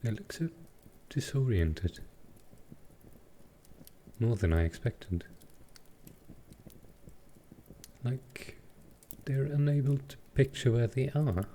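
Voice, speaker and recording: A man voicing a gentle, courtly vampire lord in a roleplay audio drama, speaking softly and formally with halting care. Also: mid-range voice, lively intonation, a little hiss or hum. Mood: hushed worry. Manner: soft, slow, formal, halting. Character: gentle old-world male vampire lord, protective and courtly.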